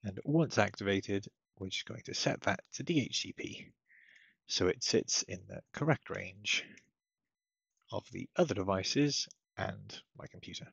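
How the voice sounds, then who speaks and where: Low, gravelly man, tutorial voice. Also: animated, unhurried, a bit bright, dry recording. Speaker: technical support presenter. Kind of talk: casual step-by-step software tutorial narration. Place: indoors at a computer, screen-recording voice-over. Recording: dull lo-fi close dry microphone.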